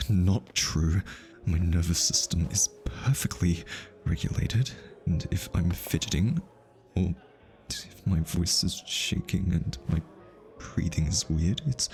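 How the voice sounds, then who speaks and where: Man playing a low-voiced, easily flustered hitman. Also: vocal fry, a little mellow, heavily acted. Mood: nervous, insistent. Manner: flustered, stammering, sighing. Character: easily flustered male hitman, lovestruck, defensive and nervous. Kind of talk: intimate ASMR roleplay acting.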